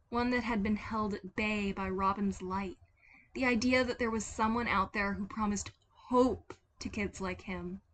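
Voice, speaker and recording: A woman reading scripted fiction aloud as a dramatic narrator. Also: medium pitch. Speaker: podfic narrator.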